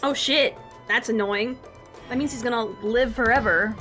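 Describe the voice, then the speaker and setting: A woman's voice: a little high, normal speed, fairly bright tone. A woman giving casual, playful live game stream commentary, often repeating her phrases. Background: game music.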